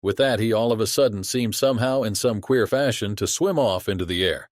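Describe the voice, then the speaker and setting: A salty, talkative sailor, played by a man spins a yarn to his shipmate in a dramatized audiobook. The voice is on the low side, colloquial and full of exclamations, recorded dry and close. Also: a little fast, dry recording, close to an everyday voice. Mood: confiding wonder.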